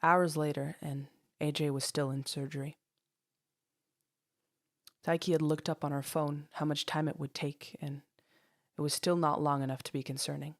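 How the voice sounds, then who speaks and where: Woman narrating an audio story, low voice, really dry close recording, dramatic scripted read. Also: little pitch movement, moderate pace, long pauses, no room sound.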